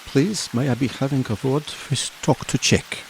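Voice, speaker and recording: An actor playing a learned, grave Dutch professor in an audio horror drama, speaking deliberately and cryptically in unidiomatic English. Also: male voice, medium pitch, gravelly, background noise, natural voice. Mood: guarded, cryptic. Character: learned, grave foreign professor who hunts vampires.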